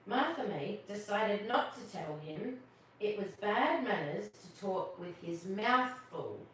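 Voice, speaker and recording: A woman reading a children's story aloud as host, expressive, drawing out her words. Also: mid-range voice. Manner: expressive, drawn-out words, questions to listeners. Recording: very echoey, distant mic, faint noise.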